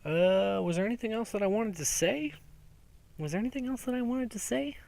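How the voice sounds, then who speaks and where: A man's voice: sits high, brisk, gravelly, darkish tone. A young man rambling sarcastically and profanely in a casual outdoor monologue as a podcast host. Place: outdoors in daylight.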